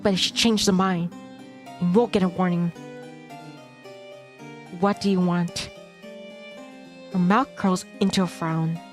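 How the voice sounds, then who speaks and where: A woman streamer reading a visual novel aloud in dramatic first person, tense and expressive, in short clipped phrases. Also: lowish voice, takes it slowly, pauses often. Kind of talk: dramatic first-person narration of a game script. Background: game soundtrack music.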